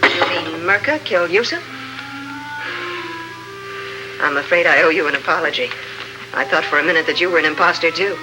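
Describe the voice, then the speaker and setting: An actor playing a suspicious, coolly polite doctor in an old radio drama, with a measured, arch, formal manner. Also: male voice, a little high, animated, music in the background, natural voice, rough recording. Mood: questioning. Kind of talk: 1950s radio drama acting.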